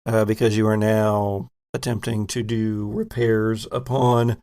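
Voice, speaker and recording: A man narrating as game master of a tabletop game in a measured, descriptive way. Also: lowish voice, flat delivery, mellow, smooth.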